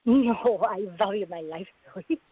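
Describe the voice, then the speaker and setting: A woman playing a sensible young working woman in a radio drama, measured, polite and dryly teasing. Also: medium pitch, breathy edge, no echo. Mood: teasing, affectionate. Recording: dull lo-fi recording.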